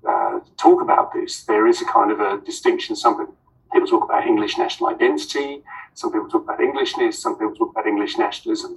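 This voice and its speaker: A man's voice: mid-range voice, distant mic, rough recording. A man, an author on a panel, answering hesitantly with many ums and restarts.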